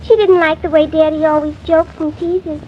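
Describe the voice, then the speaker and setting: A very high, childlike little girl, acted by a woman in a 1950s radio play. She is earnest and devoted, speaking through a muffled, noisy old recording. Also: flat delivery, moderate pace, close to an everyday voice. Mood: sleepy, artless. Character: frightened, loyal little girl, daughter of the house. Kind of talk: 1950s radio drama acting.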